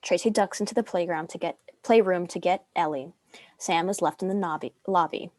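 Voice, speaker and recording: Young woman, slightly low voice, scripted narration, screenplay directions, dry room-free sound. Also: fairly quick, a little mellow, no room sound. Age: young adult.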